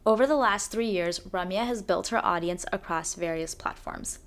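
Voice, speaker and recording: Podcast host, female, mid-range pitch, presenting episodes and putting questions to guests. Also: moderate pace. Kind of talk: podcast introduction and interview.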